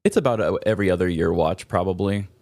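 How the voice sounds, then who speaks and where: Male podcast host, low voice, dry close sound; informal, opinionated, casual conversation. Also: fast, darkish tone, no room sound. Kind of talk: casual movie chat.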